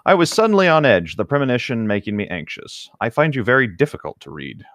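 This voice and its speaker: A man's voice: mid-range voice, huge pitch swings, fast talker, fairly bright tone, no room sound, studio quality. A man reading a novel aloud as co-host of a read-along, with casual commentary.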